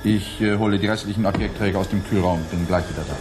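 An actor playing a doubtful, placating and faintly mocking scientist in a 1980s German radio play, with an expressive, questioning delivery. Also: male voice, sits low, fast, very noisy, lightly acted. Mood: uneasy, dismissive.

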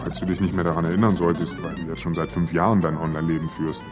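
A man's voice: deep voice, little pitch movement, fast talker, lightly acted. An actor playing a geeky, eager young male student in a radio drama, with flippant, nerdy slang. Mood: stubborn, flippant. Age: young adult. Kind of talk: naturalistic radio drama acting. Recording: music behind the voice.

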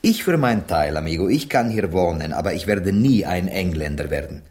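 A low, very animated male voice as a courtly Spanish poet in a radio play. He reasons philosophically and politely, a skeptic who slips Spanish phrases into his speech. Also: fairly quick. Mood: earnest, reflective. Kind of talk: literary radio drama acting in German.

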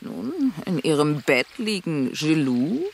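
A woman's voice: sits low, big pitch swings, slow, vocal fry, a little hiss or hum, exaggerated voice. An actress playing a fussy, caring older neighbour woman in a radio drama, chatty and motherly. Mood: flustered.

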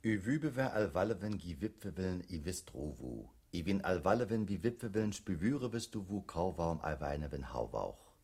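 An actor playing a computer that mechanically recites garbled poetry syllable by syllable in an experimental radio play. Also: male voice, deep voice, very animated, normal speed, few pauses, darkish tone, slightly rough, heavily acted.

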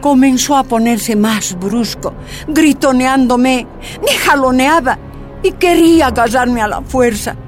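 An actress narrating as a miner's wife recounting her torture in a radio drama, grave and measured. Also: sits high. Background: soft music.

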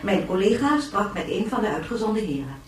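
An actress playing a formal, composed newsreader, delivering a measured scripted bulletin in a science-fiction radio play. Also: female voice, medium pitch, sing-song, natural voice. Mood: formal, grave. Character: formal, composed female newsreader of a future age. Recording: roomy, distant sound over faint music.

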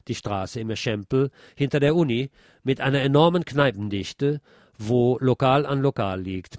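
A man reads scripted news items for a radio show. His voice sits at an average pitch for a man, and music plays behind it. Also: darkish tone, no echo, clean studio sound.

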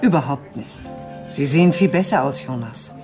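A woman's voice: rumbling low, expressive, moderate pace, music in the background. A woman playing an insistent female war reporter in a radio drama. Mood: objecting. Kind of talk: German radio drama acting.

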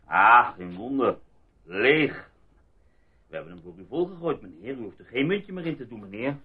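An actor in a radio drama playing an irritable, sarcastic husband with a grumbling, sardonic delivery. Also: male voice, medium pitch, big pitch swings, normal speed, slightly rough. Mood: exasperated. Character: irritable, sarcastic husband fed up with a filthy holiday house. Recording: muffled, faintly noisy radio play recording.

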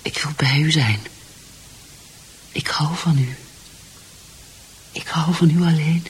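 A woman's voice: low voice, slow pace, slightly rough, full character voice. An actress in a radio drama playing a distraught, lovesick married mother, pleading and often near whispering.

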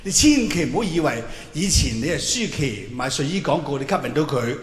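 A man's voice: a little high, expressive, a little fast. A man performing a stand-up monologue, telling it theatrically with mock-earnest advice. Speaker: stand-up comedian. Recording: echoey hall with long reverb, clear noise.

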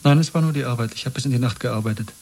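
A man's voice: mid-range voice, racing, darkish tone, lightly acted. An actor playing an earnest, secretly rebellious younger man in a German radio drama, pressing his point with weary intensity. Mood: weary, subdued. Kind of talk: 1970s German radio play acting.

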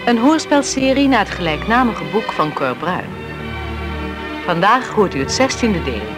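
A man delivers a scripted announcement for a radio drama. His voice is high with an animated range, and music plays clearly behind him. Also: a little slow.

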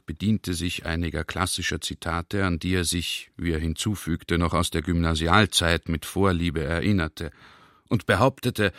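A man's voice: low-pitched, a bit bright, dry recording, studio quality. A man narrating a literary radio play with formal, emphatic phrasing.

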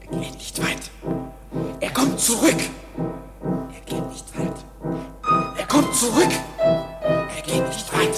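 An actress playing one of the waiting accused in a radio play, insistent, with a repetitive, incantatory delivery. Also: female voice, a little high, huge pitch swings, slow pace, long pauses, exaggerated voice. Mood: ominous chanting. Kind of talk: German radio play acting. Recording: loud music behind the voice.

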